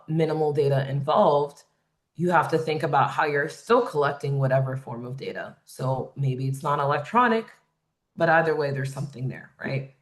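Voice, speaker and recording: A woman with a loud voice facilitating a design webinar, explaining points conversationally and checking in with "right?". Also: low voice. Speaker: workshop facilitator. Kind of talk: webinar presentation.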